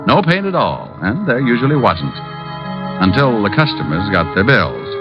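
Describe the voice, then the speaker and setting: A man's voice: medium pitch, sing-song, moderate pace, music in the background, close to an everyday voice. A man playing a brash, persistent private detective with a wry, sardonic manner in 1940s radio style. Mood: sardonic narrating. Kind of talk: 1940s radio acting and first-person narration. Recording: dull lo-fi old radio recording.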